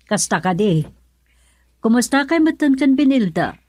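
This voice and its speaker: An actress playing a caring mother in a radio drama, gently questioning her son. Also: a little high, big pitch swings, normal speed, dry recording. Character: caring middle-aged mother, curious.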